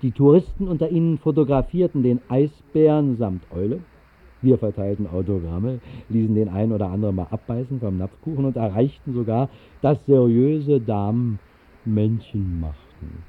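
A man's voice: mid-range voice, moderate pace. Man narrating on narrow-band old radio recording. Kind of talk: scripted literary narration, 1960s German radio play.

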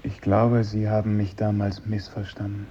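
A man's voice: on the low side, flat delivery, moderate pace, warm tone, slightly rough. An actor playing a mentally disturbed, intense man with a formal, probing manner in a 1950s German radio play. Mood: eerie, probing. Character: mentally disturbed former pupil, eerie, intense, easily affronted. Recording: dull vintage radio recording.

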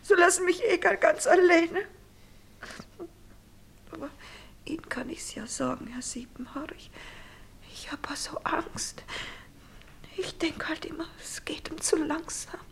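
An actress playing a sickly, fearful and jealous village wife in a German radio play, speaking dialect plaintively. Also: female voice, very high voice, steady tone, unhurried, gravelly, long pauses, exaggerated voice. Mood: weak, afraid. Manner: plaintive, often dropping to weak whispers.

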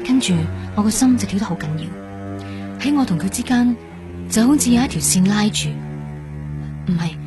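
A woman's voice: medium pitch, natural voice. A woman acting an earnest, introspective young patient in a radio drama, speaking Hong Kong Cantonese. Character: earnest young woman recovering from heart transplant, haunted, drawn in.

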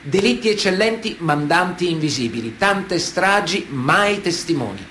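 An actor playing a stern, ironic chronicler, narrating a radio drama in clipped phrases with dramatic pauses. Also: male voice, high voice, animated, sharp tone, slight background noise. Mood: grave, declamatory.

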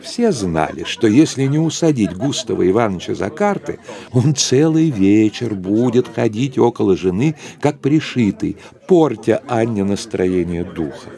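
Lively, wry male literary narrator. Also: fairly low pitch, moderate pace, vocal fry, some chatter behind. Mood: wry, composed. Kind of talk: audio drama narration.

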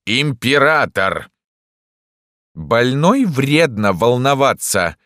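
A man voicing a bossy, grumbling old doctor with a creaky, grating voice in an audiobook. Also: mid-range voice, sing-song, takes it slowly, a bit bright, no room sound, studio quality, pitched above the performer's own voice. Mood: irritable. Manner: grumbling, authoritative. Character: cranky, bossy old male doctor, gnomish and grey-bearded.

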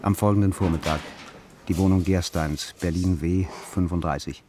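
A man reads scripted stage directions aloud. His voice sits very low, and clear noise with clicks runs behind it. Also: fairly even intonation, moderate pace. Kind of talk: scripted read-aloud narration. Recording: noisy room with clicks.

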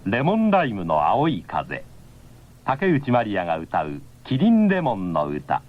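Low-voiced male ad announcer over loud music. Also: wildly swooping pitch, unhurried. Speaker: commercial announcer. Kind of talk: soft-drink radio ad read. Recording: tinny 1979 radio broadcast.

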